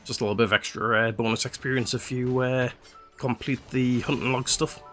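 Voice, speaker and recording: A man's voice: mid-range voice, brisk, soft background music. Man commentating a game, slightly stuffy nose. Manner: relaxed, rambling, with frequent "uh" fillers. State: slightly congested nose from a cold. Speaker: let's play host. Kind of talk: casual gameplay commentary.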